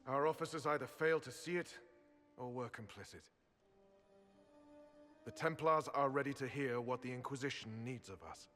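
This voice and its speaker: A man's voice: mid-range voice, normal speed, long pauses, a bit bright, faint music behind, natural voice. A man voicing a stern, duty-bound templar commander who speaks formally in a video game. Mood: grave. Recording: game audio with long reverb.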